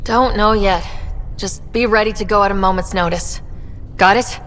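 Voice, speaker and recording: A woman's voice: mid-range voice, fairly even intonation, normal speed, fairly bright tone, big-room sound, a little hiss or hum, close to an everyday voice. An actress playing an earnest young female leader of a space expedition in a video game, businesslike and conversational. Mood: decisive. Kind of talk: scripted video game acting.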